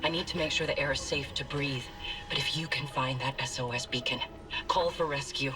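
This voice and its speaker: A weary but determined scientist in a video game drama. She explains things with grim urgency. Music plays clearly behind her voice. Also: extremely low pitch, fairly even intonation, brisk. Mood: serious. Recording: music under the voice.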